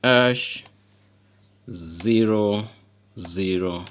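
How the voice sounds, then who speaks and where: A man's voice: fairly low pitch, a bit bright, slight background noise. A man presents a casual how-to. He speaks off the cuff, stopping and starting and repeating phrases as he explains each step. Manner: halting and repetitive, often checking understanding. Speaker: tutorial presenter. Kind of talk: informal unscripted how-to demonstration.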